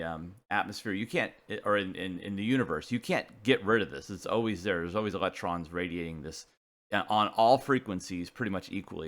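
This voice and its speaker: A man with a fairly low voice presents an informal technical explainer. His delivery is casual and halting, as if working things out aloud while he goes. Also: a bit bright. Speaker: presenter of a CB radio technical video.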